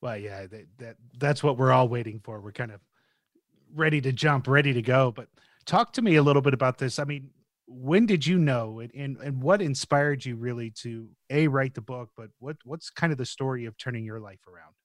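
Male host, relaxed encouraging interviewer, mid voice, dry recording. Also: mid-range voice, brisk, no room sound. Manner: casual, encouraging questions. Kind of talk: conversational interview.

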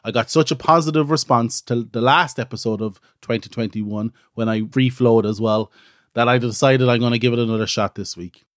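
A man's voice: medium pitch, fairly quick, dry recording, clean studio sound. A middle-aged Irish man hosting his own podcast in a casual, rambling, self-deprecating chat full of "so" and "you know". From Ireland. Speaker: podcast host.

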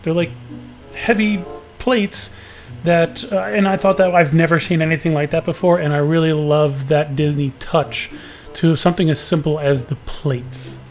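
A man hosting a podcast with a booming voice, telling a story in an easygoing, chatty way. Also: fairly even intonation, moderate pace, soft background music. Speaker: podcast host. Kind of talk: casual podcast recap.

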